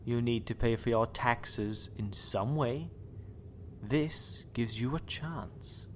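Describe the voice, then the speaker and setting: Man acting as slow, sinister, polite doctor. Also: medium pitch, slight background noise, lightly acted. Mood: cool menace. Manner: unctuous, measured, formal menace. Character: sinister, coolly polite male doctor who experiments on people. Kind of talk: audio drama acting.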